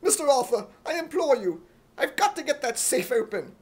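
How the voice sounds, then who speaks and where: A man voicing an earnest, excitable young hero in an imitation British accent for radio comedy. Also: very high voice, normal speed, breathy edge, exaggerated voice. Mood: urgent.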